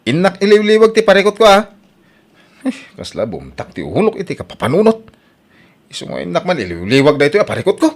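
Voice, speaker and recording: A man's voice: high voice, huge pitch swings, quick pace, heavily acted. A male actor playing a troubled, self-pitying husband who drinks, in an Ilocano radio drama, speaking with an Ilocano accent. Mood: defiant, complaining.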